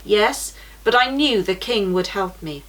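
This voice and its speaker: A woman voicing a brave young male guide in a children's storybook, speaking calmly and steadily. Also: medium pitch, measured pace, poor audio. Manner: calm and steady.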